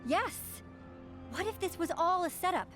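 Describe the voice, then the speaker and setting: An actress playing a composed, determined young senator in an animated series, earnest and assertive. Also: female voice, very high-pitched, normal speed, music in the background. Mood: urgent, insistent. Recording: dramatic score behind the voice.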